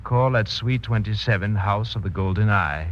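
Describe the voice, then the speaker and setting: An obliging clerk acted by a man from a vintage radio drama. He speaks fairly low, formally and with careful measure. The recording is dull with faint noise. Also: moderate pace, close to an everyday voice. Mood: polite, formal. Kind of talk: 1940s radio acting.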